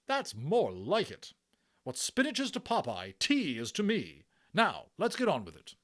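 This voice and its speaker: A man voicing a brisk, indignant nurse matron in a children's storybook, shrill, clipped and no-nonsense. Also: wildly swooping pitch, fairly bright tone, full character voice. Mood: cheerful, brisk. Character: brisk bossy British nurse matron, indignant older woman.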